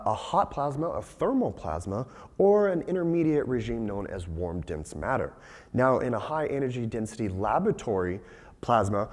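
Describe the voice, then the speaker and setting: A young man and PhD candidate giving a scientific conference talk, hoarse and raspy from losing his voice, measured with frequent fillers. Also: mid-range voice, darkish tone. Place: lecture hall. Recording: long hall reverb.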